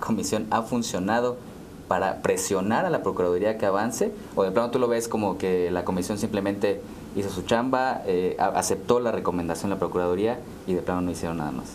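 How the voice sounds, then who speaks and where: Mexican man, thirties, co-host interviewer. Low pitch, conversational, frequent tag questions, faint noise behind. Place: dark TV studio, round table. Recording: clear background room noise.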